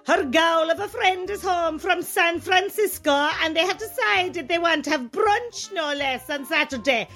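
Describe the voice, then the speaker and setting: An actress as a gossipy, put-upon County Cork woman in a comedy sketch. Her voice is high and sharp, full of catty exasperation, over faint music. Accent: Irish, County Cork. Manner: griping, catty. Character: exasperated, gossipy small-town Irish woman with airs. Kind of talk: scripted comedy monologue.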